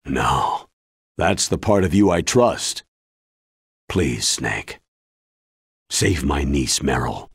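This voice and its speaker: Aging ex-army officer for a game: low, rough-edged American voice, solemn and sincere, dry studio sound. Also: male voice, very animated, no echo, heavily acted. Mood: earnest, pleading. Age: older. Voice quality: deep husky. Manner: grave, measured, earnest. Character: weary, earnest old retired male military commander. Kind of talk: video game cutscene acting.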